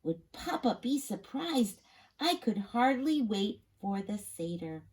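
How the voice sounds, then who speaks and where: Slow, very animated woman storyteller. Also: medium pitch, background noise. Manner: expressive, pausing to address the listeners. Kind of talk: children's story read-aloud.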